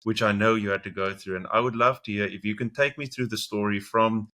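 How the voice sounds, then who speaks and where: A man's voice: lowish voice, fairly even intonation, brisk, pushed and bright. A man with a South African accent hosting an interview and encouraging his guest, with small restarts. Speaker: podcast host.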